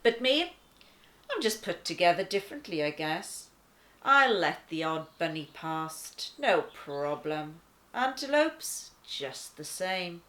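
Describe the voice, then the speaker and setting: An easygoing, chatty lion as a woman storyteller plays it talks straight to the listener in a wry, laid-back way. The pace is unhurried and the pitch swings expressively, with pauses for dramatic effect in storybook style. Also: sing-song, a bit bright, full character voice. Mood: wry, relaxed. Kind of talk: first-person children's storybook narration.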